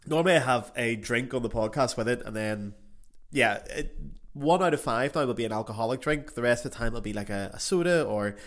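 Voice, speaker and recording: A man with a softened, carefully enunciated Belfast accent hosting a casual podcast interview in a chatty, jokey, self-deprecating way. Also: medium pitch, animated, fairly quick. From Northern Ireland. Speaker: podcast host.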